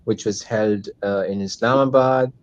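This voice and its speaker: Teenage Pakistani man, interview guest, lowish pitch, dry sound, conversational. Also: steady tone, normal speed, no echo, highs cut off. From Hyderabad, Pakistan. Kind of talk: casual interview answers.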